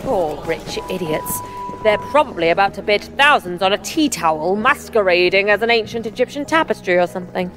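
An actress playing a plucky, cheeky journalist with an English accent in an audio drama, wry and teasing. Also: female voice, mid-range voice, expressive, a little fast, music in the background, close to an everyday voice. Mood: wry, uneasy. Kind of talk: full-cast audio drama acting.

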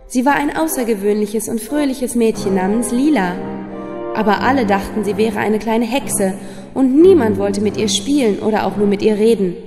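A woman's voice: on the high side, big-room sound. A woman narrating a scripted fairy tale for children as a storyteller. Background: music.

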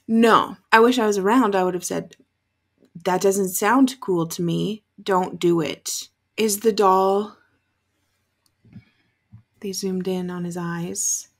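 Young woman reacting casually, unhurried pace. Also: mid-range voice. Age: young adult. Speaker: reaction video host. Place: small furnished room at home, indoors.

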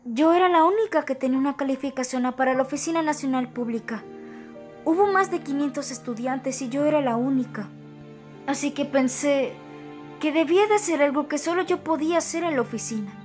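Woman playing a high-pitched earnest young anime inspector. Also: a little fast, darkish tone, soft background music, close to an everyday voice. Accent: Latin American Spanish. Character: earnest young female police inspector, idealistic rookie. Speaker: amateur fandub voice actor. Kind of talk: anime fan dub acting.

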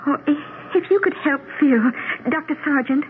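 A woman's voice: high-pitched, moderate pace, natural voice. An actress playing a polite, gracious married woman in a 1970s radio drama. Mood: polite, curious. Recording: tinny, noisy 1970s radio broadcast.

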